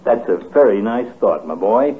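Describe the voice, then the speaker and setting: An actor playing a portly middle-aged doctor in a 1950s radio comedy, dry, wordy and mock-dignified. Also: male voice, on the low side, lively intonation, moderate pace, slightly rough, narrow, tinny audio. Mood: bantering. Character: portly, middle-aged male doctor, dryly trading insults. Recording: thin, band-limited 1950s radio recording.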